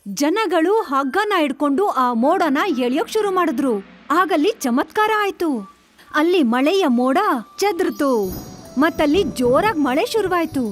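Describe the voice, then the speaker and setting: A woman narrates a children's story from a script. Her voice is extremely high in pitch. Soft music plays behind her narration. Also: lively intonation, moderate pace.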